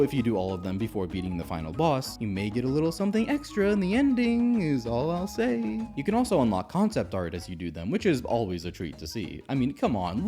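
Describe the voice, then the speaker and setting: A man's voice: medium pitch, warm tone. A man narrating a scripted video game review in a conversational, opinionated way with casual asides. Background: game music.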